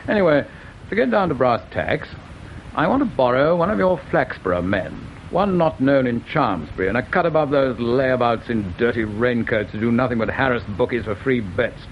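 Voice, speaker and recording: Male actor, breezy senior officer, brisk, very lively intonation, faint noise, radio drama. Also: mid-range voice, lightly acted. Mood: hearty, brisk. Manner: brisk, chummy, one side of telephone call. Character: hearty, breezy male chief constable, old-boy officer type. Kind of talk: British radio drama acting.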